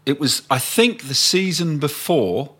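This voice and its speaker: Bright-voiced older man reminiscing. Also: a little high, lively intonation, slightly rough. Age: 60s. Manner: thoughtful, halting, searching for memories. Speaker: podcast guest. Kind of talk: casual reminiscing chat.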